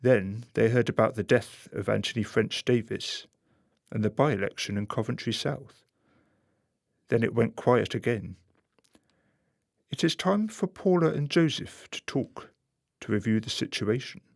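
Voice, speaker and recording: A man's voice: mid-range voice, measured pace, gravelly, pauses often, no room sound, clean studio sound. A man acting as a genial, knowing storyteller who narrates a radio play directly to the listener. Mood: genial, confiding.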